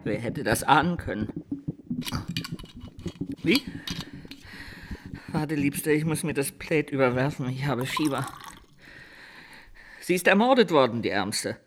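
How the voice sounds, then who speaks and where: A man playing a distraught, self-pitying drunken count in a radio drama, with a whining, halting delivery. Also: mid-range voice, expressive, background noise, lightly acted. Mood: agitated, mournful.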